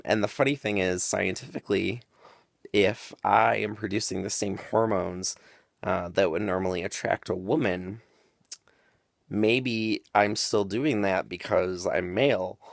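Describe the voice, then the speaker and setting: A young man with a deep voice talking casually to camera, explaining his views at length with frequent ums and side tangents. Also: vocal fry, dry recording, clean studio sound. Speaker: vlogger.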